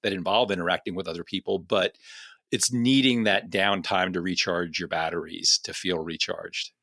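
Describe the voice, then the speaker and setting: A man with a fairly low voice and a bright, forceful tone hosts a casual podcast conversation. He rambles and restarts his sentences and asks long questions. The sound is dry and close. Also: steady tone, normal speed, no echo. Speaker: podcast host, coach.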